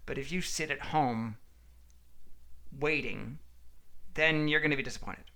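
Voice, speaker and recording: A man co-hosting a podcast, chatting casually in a rambling, halting way as he searches for words. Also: medium pitch, bright, forceful tone. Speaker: podcast co-host. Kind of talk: casual chat, storytelling.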